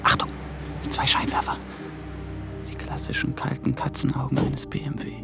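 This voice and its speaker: An actor playing an anxious, alert young intern in a radio drama, muttering in clipped fragments. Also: male voice, normal speed, over music, lightly acted. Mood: watchful, hushed. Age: young adult. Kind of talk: radio drama acting with narrated inner monologue.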